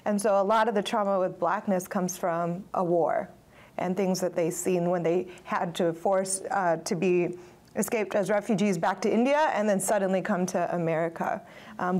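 A woman's voice: on the low side, normal speed, a little mellow. A woman in her thirties whose first language is Gujarati, speaking on a panel in a studio with a lapel mic, reflective and hesitant with many fillers. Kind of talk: panel discussion. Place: studio stage with audience.

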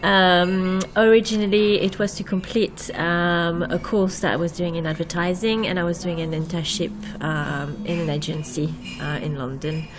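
A woman with a French accent who speaks in a low, level voice with very little melody. She chooses her words carefully and pauses often on um. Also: fairly even intonation, low-quality recording. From Paris, France. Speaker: interior designer, guest. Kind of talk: radio interview.

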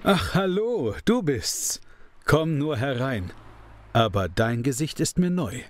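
Man as welcoming host dad, animated intonation. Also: mid-range voice, takes it slowly, a little hiss or hum. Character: friendly father, host offering tea. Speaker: father of missing girl. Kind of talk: fan audio drama acting.

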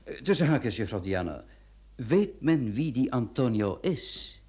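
An actor playing a courteous, fussy notary in a radio play, speaking in a formal, musing, polite way. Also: male voice, medium pitch, sing-song, unhurried, mellow. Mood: obliging. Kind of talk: Dutch-language radio drama acting.

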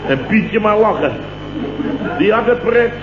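An actor from the Netherlands with a Dutch accent, playing a sleep-starved, self-pitying, blustering company boss who rambles and repeats himself in a comic radio play. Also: male voice, high voice, lively intonation, unhurried, crowd noise. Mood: aggrieved, dramatic. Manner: rambling, repetitive, exclamatory grumbling. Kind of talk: late 1960s comic radio play acting. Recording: dull, noisy, roomy old radio recording.

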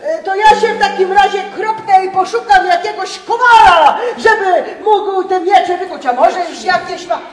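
Woman playing a very high, singsong crier. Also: normal speed, hardly any pauses, some chatter behind. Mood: brisk. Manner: singsong, calling out loudly. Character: lively singsong market peddler and ship's mate. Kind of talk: improvised musical audio play. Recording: roomy echo, loud music.

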